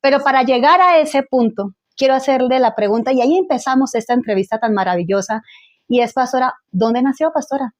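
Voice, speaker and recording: Female pastor host, high voice, effusive, halting restarts. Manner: effusive, restarts and repeats words. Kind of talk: church talk show interview.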